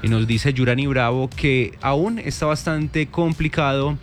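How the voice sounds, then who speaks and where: A man hosting a morning radio traffic show, lively and joking as he reads listener reports. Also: medium pitch, a little slow, soft background music. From Colombia. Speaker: radio host.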